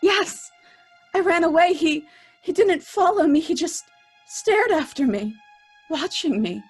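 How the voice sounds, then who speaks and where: A woman's voice: very high voice, expressive, measured pace. A woman playing a frightened, insistent young woman in old-time radio melodrama, speaking in urgent, breathless broken phrases. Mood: terrified. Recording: music under the voice.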